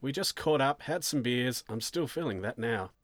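A man's voice: mid-range voice, expressive, normal speed, dry recording, natural voice. A man playing a nervous, bewildered male suspect in a story, polite but defensive and hesitant. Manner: hesitant, perplexed, protesting.

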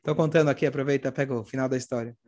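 A Brazilian man, hoarse with a cold, telling religious stories informally and acting out the dialogue as he goes. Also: mid-range voice, steady tone, very fast, a little mellow. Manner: acts out dialogue while retelling. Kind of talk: animated informal religious storytelling talk.

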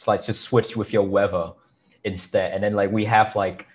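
A man's voice: fairly low pitch, little pitch movement, moderate pace. A man with a Vietnamese accent chatting casually as a podcast host, in informal non-native English. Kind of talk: casual chat.

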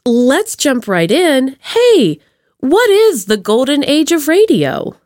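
Upbeat lady podcast host, super animated pitch, slangy casual chat, really dry close sound. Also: takes it slowly, dry recording. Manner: informal slang, playful enthusiasm. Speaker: podcast host interviewing a guest. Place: remote video call.

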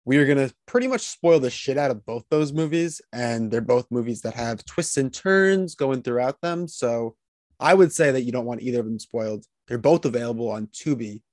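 A man's voice: medium pitch, normal speed, darkish tone, no echo, studio quality. A man hosting a podcast, introducing guests and interviewing them. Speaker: podcast host.

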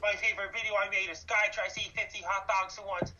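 A man in his thirties from Canada talks in an even, monotone way with a bright, forceful edge. He repeats facts and dates as he lists them. He comes through from a distance on a dull, muffled line. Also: sits high, fairly quick, slight background noise. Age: 30s. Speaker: guest, YouTuber. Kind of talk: interview answers.

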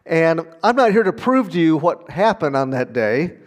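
A man's voice: fairly high pitch, big pitch swings, moderate pace, long reverb tail. A man preaching a sermon in an earnest, conversational way, with small laughs as he talks. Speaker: pastor.

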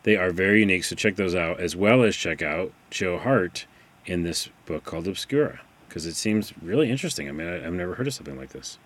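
Low-voiced man hosting a casual podcast, chatty and excitable, talking from a distance to the mic. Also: fast talker. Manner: chatty, excitable, reads book blurbs aloud. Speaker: podcast host. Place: studio room, sitting back from the mic. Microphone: far from the microphone.

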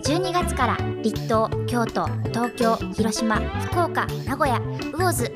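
A woman's voice: a little high, animated, slow pace, loud music behind the voice. A woman co-hosting a radio show, chatting casually and reading announcements.

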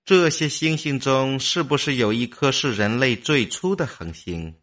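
A man's voice: medium pitch, lively intonation, normal speed, fairly bright tone, far from the mic, lightly acted. Guy doing an inquisitive immortal mind for a radio play, very up and down, kinda off mic. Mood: matter-of-fact. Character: immortal human mind, inquisitive and matter-of-fact. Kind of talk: audio drama dialogue.

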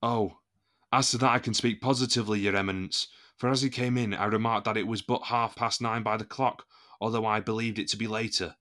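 A proud, defiant captain of musketeers with a lowish, hard-edged voice. He speaks in formal, courtly sentences with indignant weight, loyal to his men, in a period audio drama. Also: level tone, brisk, sharp tone. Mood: formal, assured.

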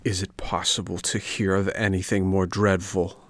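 A man's voice: low-pitched, level tone, normal speed, no room sound, natural voice. A man voicing a sly fox full of theatrical false sympathy in a storybook reading. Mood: mock outrage.

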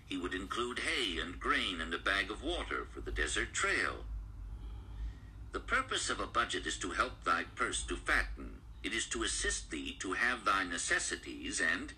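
A man reads an audiobook in formal, instructive style. His voice is very bright and forceful, with lively rises and falls over the archaic prose. Faint noise sits behind the played-back recording. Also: low-pitched, normal speed, low-quality recording. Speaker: audiobook narrator. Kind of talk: scripted narration, reading archaic prose.

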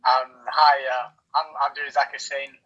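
A man in his twenties answers as a podcast guest in an informal, reflective way. His voice is bright and sharp-edged, with very little movement in pitch. The sound is slightly muffled and lo-fi, like a call. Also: flat delivery, normal speed. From born in Somalia, grew up in Bristol, England from age nine. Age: 20s. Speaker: podcast guest, aspiring footballer. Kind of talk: casual interview answers.

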